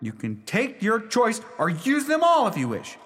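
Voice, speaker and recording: High-pitched, melodramatic young bridegroom. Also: male voice, wildly swooping pitch, normal speed, fairly bright tone, exaggerated voice. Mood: exasperated, commanding. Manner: melodramatic, earnest, wordy. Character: exasperated lovestruck young bridegroom haggling with ghosts. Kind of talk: theatrical radio play acting.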